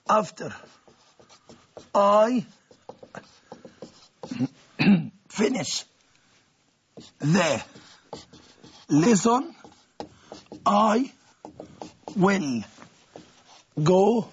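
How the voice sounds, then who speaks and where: Egyptian male teacher, gravelly and high-pitched, walks the class patiently through each example. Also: muffled recording. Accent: Egyptian Arabic. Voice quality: husky, gravelly voice with frequent throat-clearing. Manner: step-by-step explaining, translating each phrase, addressing the class. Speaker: English teacher. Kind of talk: classroom grammar explanation.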